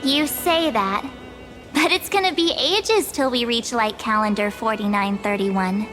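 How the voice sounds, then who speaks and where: Casting: playful, chatty game girl, high and light, score underneath. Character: lively, teasing young girl, cheerful. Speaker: game character. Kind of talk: game cutscene voice acting. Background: orchestral music.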